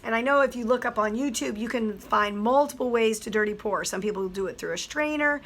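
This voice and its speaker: A hoarse woman, a teacher, chattily explaining a craft project step by step. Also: on the high side, a little fast, fairly bright tone. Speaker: craft tutorial host. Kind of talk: craft tutorial voice-over.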